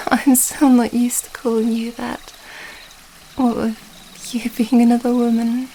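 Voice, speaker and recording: Woman playing a slow, high-voiced tender young princess. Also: warm tone, a little hiss or hum. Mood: shy, tender. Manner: tender, giggly, hesitant. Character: affectionate young princess in love with a mermaid. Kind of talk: romantic fantasy ASMR roleplay.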